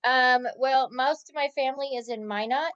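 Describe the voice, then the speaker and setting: A woman introducing herself to a class in a soft-spoken, hesitant way. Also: high-pitched, normal speed, clean tone, dry recording, muffled recording. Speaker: student.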